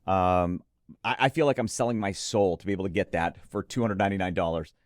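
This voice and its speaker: A man's voice: deep voice, quick pace, dry recording, clean studio sound. A man co-hosting an audio gear preview, chatting enthusiastically and emphatically about products. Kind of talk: enthusiastic product review chat.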